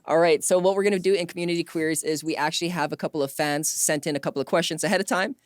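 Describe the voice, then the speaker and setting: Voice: mid-range voice, steady tone, racing, dry recording, clean studio sound. A podcast host speaking conversationally, affirming the guest and introducing segments. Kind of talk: conversational interview hosting.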